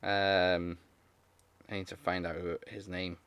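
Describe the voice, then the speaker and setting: A man co-hosting a casual podcast, chatty and quick to laugh, retelling film scenes with put-on voices. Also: low voice, level tone, a bit bright, clear, resonant tone. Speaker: podcast co-host.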